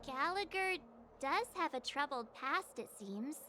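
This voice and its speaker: A woman's voice: high voice, expressive, a little slow, sharp tone, faint music behind, full character voice. An actress voicing an inquisitive young girl companion in a video game, with a piping way of talking. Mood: thoughtful.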